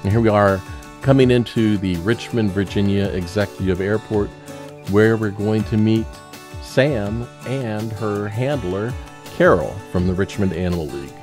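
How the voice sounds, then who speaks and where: A man's voice: fairly low pitch, normal speed. A man gives a casual, conversational voice-over narration of a flight video, telling it like a story. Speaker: narrator, pilot of volunteer animal rescue flights. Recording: music under the voice. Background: background music.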